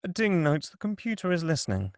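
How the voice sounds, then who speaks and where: A man narrates a screenplay at a table read. His voice is fairly high with a gravelly creak, and the sound is close and dry. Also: big pitch swings, fast, no room sound. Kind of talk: scripted narration.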